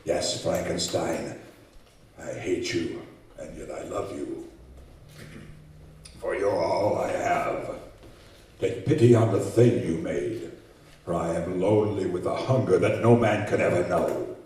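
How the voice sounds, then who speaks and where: A lonely giant monster with an extremely deep voice and slow, solemn delivery. He is bitter and menacing, declaiming in old radio horror style. The sound is a very echoey hall, picked up from a distance. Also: darkish tone, slight background noise. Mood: lonely, pleading. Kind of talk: old-time radio horror acting before a live audience. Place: community center hall, live stage performance.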